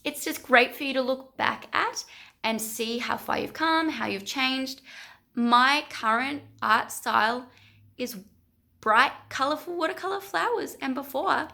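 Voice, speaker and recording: A woman, chatting casually and self-mockingly as an art vlogger while going a little hoarse. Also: high-pitched, lively intonation, measured pace, pushed and bright. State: losing her voice. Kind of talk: casual vlog commentary.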